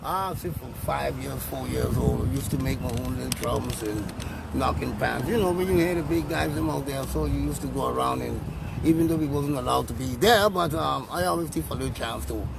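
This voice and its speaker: Man, musician guest, halting and repetitive, gravelly tone, recorded on a noisy street. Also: medium pitch. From St. Maarten. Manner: halting, repeats words, encouraging. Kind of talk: street interview. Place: outdoors on a street. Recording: loud street noise. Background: traffic and passing planes.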